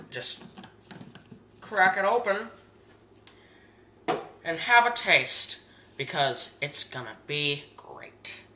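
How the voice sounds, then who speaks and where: A teenage boy hosting a mock cooking show in his home kitchen, giving earnest step-by-step instructions for an absurd recipe in a deadpan tone. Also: a little high, slight background noise. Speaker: host of a home cooking parody. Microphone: camera mic across the kitchen. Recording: echoey kitchen, camera a bit far.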